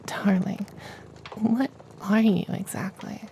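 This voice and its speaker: A performer voicing a tender, powerful femboy witch in a soft, partly whispered ASMR fantasy roleplay. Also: medium pitch, fairly even intonation, gravelly, a little hiss or hum. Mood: hushed, curious. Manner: hushed, partly whispered, slow and soothing.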